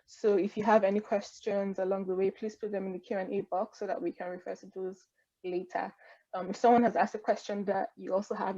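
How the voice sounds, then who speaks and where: A woman's voice: mid-range voice, creaky, dark tone, husky. A woman moderating an online panel over a video call, easygoing and rambling, with many fillers. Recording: dull lo-fi online call audio.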